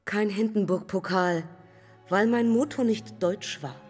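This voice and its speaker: A woman's voice: mid-range voice, a little slow, a little mellow, big-room sound, soft background music, natural voice. An actress playing a self-possessed young woman aviator in a German radio drama, speaking in terse, clipped fragments. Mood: proud. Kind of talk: German public radio drama, lyrical dialogue.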